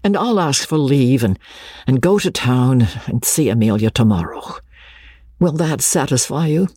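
A man voicing a hot-headed, haughty young army officer in a storybook reading, with old-fashioned gentlemanly speech. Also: medium pitch, lively intonation, a little slow, husky, no room sound, studio quality. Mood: contrite, cajoling.

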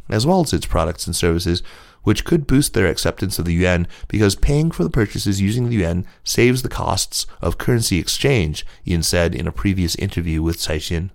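A man's voice: sits low, creaky, dry recording, clean studio sound. A man hosting a business news podcast, reading scripted stories as narration. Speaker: podcast host and news reader.

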